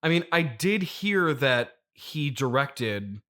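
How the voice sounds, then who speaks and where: A male podcast host with a medium-pitched voice, playing the straight man in an improvised comedy interview. He questions his guest in a probing, incredulous way. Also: sing-song, takes it slowly, bright, forceful tone.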